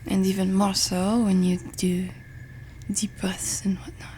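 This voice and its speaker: A woman playing a pensive French roommate in an intimate ASMR ramble, with a French accent and a soft, breathy, hesitant delivery. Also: mid-range voice, level tone, unhurried, a little hiss or hum, close to an everyday voice. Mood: musing, gentle. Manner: hesitant, musing, self-deprecating. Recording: music behind the voice. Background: music and summer ambience.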